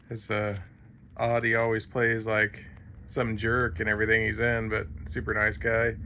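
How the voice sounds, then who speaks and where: A man's voice: on the low side, monotone, unhurried, bright, forceful tone, dull audio, slight background noise. A man casually narrating his own vlog, chatty and rambling with fillers. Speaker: vlogger.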